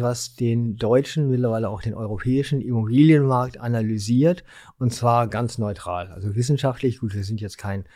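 A man in his sixties talks casually and discursively as a podcast guest. His voice is warm and mellow, his articulation slightly impaired. The recording is dry, without room sound. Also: medium pitch, dry recording. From Germany, Ruhr area (Essen). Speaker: podcast guest, real estate market analyst. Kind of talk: casual interview chat.

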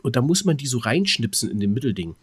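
A man's voice: medium pitch, big pitch swings, quick pace, breathy edge, no echo. Guy in his late 20s just chatting on a podcast, rambly, trips over words, dry close sound. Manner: rambling, stuttering word repeats. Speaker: podcast co-host. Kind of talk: casual chat, telling anecdotes.

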